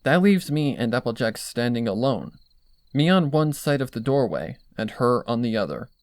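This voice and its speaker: A man's voice: mid-range voice, sing-song, normal speed, no room sound. A man narrating a dramatic first-person story from a script. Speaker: fan fiction narrator.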